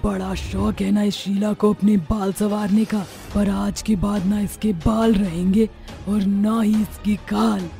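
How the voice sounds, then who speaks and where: A woman voicing a vengeful, mocking witch ghost in a horror story, with slow, taunting menace. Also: mid-range voice, level tone, creaky, warm tone, soft background music. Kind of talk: Hindi horror story dramatization.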